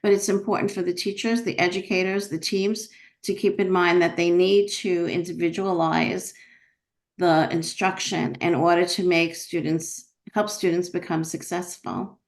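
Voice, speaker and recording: Earnest low-voiced woman. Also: steady tone, moderate pace. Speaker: co-author and education guest. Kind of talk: podcast interview.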